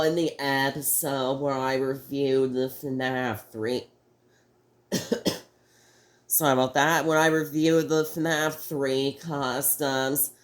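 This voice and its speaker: A man hosting a casual toy review video, talking in a halting, rambling way with many restarts, his voice a little off because he is sick. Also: mid-range voice.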